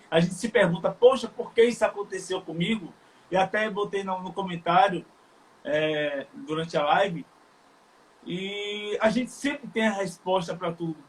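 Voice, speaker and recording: Bahian man, high voice, sincere, halting speech full of fillers. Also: slight background noise, low-quality recording. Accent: Bahian Brazilian Portuguese. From Salvador, Bahia, Brazil. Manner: earnest, halting with frequent fillers. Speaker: live stream guest. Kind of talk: casual chat.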